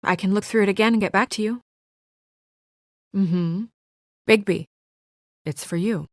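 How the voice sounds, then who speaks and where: A young female office assistant from a noir game, acted by a woman, brisk and guarded. Her voice sits at a medium pitch with crisp, no-nonsense, understated delivery. The recording is close and dry, free of room sound. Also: dry recording, natural voice. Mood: professional, polite. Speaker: video game voice actor. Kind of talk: naturalistic video game drama acting.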